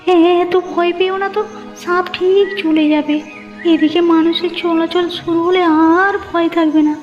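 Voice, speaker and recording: A woman's voice: very high voice, fairly even intonation, moderate pace, lo-fi sound, faint music behind. A woman voicing a demanding, showy village wife in a Bengali cartoon. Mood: reassuring. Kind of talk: Bengali moral-story cartoon dubbing.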